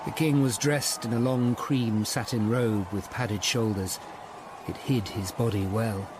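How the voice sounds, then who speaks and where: An actor playing a grave, dutiful Tudor lawyer-detective in a radio drama, speaking in a measured, formal and earnest way. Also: male voice, fairly low pitch, fairly even intonation, background noise, close to an everyday voice. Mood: reflective. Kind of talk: radio drama acting and first-person narration.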